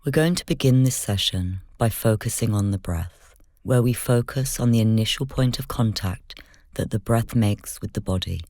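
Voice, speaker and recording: Soft, dry-sounding meditation guide. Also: mid-range voice, moderate pace, dry recording. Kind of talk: guided meditation, slow instructional narration.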